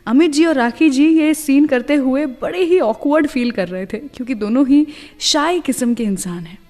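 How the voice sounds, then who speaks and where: A woman's voice: sits high, moderate pace, a little mellow, big-room sound, faint music behind. A woman hosting a radio show, telling chatty anecdotes in a scripted style.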